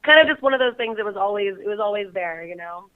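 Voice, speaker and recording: A woman's voice: mid-range voice, expressive, fast talker, clean tone. Giggly woman on a tinny phone line. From Bay Area, California. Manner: giggly, laughs often between phrases. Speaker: guest. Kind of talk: casual phone interview. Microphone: phone call.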